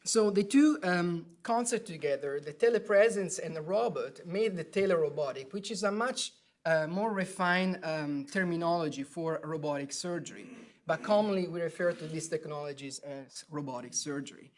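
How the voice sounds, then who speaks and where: A surgeon from Italy with an Italian accent gives an academic lecture, pausing often with fillers and restarting phrases. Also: male voice, a little high. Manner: hesitant, many fillers and restarts. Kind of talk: academic lecture at grand rounds. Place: lecture hall.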